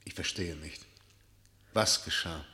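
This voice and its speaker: Low, slow male questioner. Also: bright, forceful tone, exaggerated voice. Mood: puzzled, probing. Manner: hesitant, questioning. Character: puzzled, probing male listener questioning a prisoner. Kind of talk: German radio play acting.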